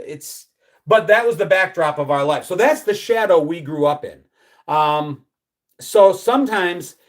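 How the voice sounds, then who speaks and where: A man in his fifties from the United States hosting a live stream, chatting casually, reading out viewer comments and rambling with many restarts. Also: a little high. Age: 50s. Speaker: livestream host.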